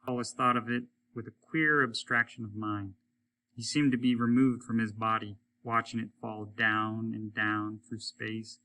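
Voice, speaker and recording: A man's voice: on the low side, sharp tone, a little hiss or hum, low-quality recording. A man reading a story aloud as a teacher would, in dramatic storytelling narration.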